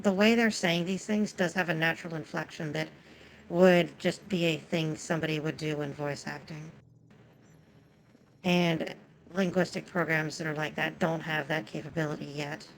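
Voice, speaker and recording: A woman's voice: low voice, steady tone, moderate pace, gravelly, a little hiss or hum, rough recording. A woman whose first language is Japanese, chatting casually and profanely with viewers while streaming a game.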